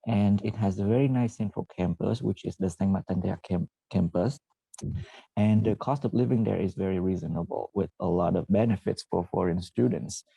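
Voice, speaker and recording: Low gravelly man, halting. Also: mellow, dry recording, rough recording. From Vietnam. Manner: halting, frequent "uh" and self-corrections. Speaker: former PhD student presenting. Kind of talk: presentation to students.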